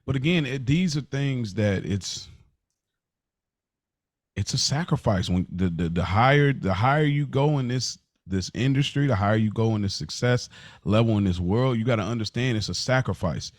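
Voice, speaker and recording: A man co-hosting a podcast answers in a reflective, talk-it-through way, weighing his points as he speaks. His pitch is average for a man. The recording is dry and close, with no room echo. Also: animated, moderate pace. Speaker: podcast co-host. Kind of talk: casual chat.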